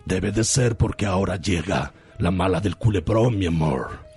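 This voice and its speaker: A man's voice: deep voice, moderate pace, a little mellow, faint music behind. A man acting a pompous, evasive lover in a theatrical, over-earnest radio soap parody. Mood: smug, evasive.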